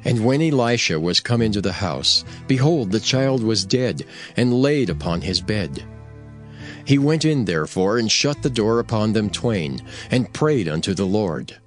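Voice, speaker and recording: Scripture narrator: man, lowish pitch, solemn and measured yet lively, light music underneath.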